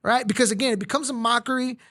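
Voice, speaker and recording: A man's voice: very high voice, fairly even intonation, racing, a bit bright, no echo, studio quality. Blunt, profane Mexican-born man hosting. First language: Spanish. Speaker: podcast host. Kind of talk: casual chat.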